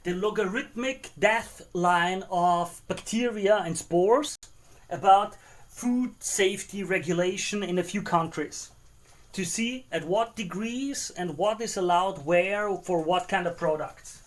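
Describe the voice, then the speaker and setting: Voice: medium pitch, measured pace. Chatty cooking streamer. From Austria. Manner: chatty, greets and answers viewers between cooking remarks. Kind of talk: casual live-stream chat while cooking.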